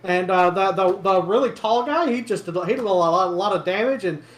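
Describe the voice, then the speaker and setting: A rambling, gossipy townsman from a fantasy tabletop game, played by a man, in a fairly high male voice. He hesitates, circles back and contradicts himself, with roomy echo around him. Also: animated, brisk. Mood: excitable, curious.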